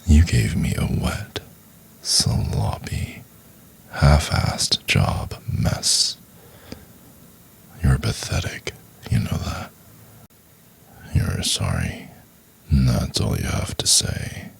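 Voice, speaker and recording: A man playing a cold, domineering, contemptuous chief executive in an ASMR roleplay, speaking in a husky, condescending, deliberate half-whisper. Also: extremely low pitch, lively intonation, heavily acted. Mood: cold contempt. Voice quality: husky, gravelly. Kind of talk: intimate ASMR roleplay, acted monologue.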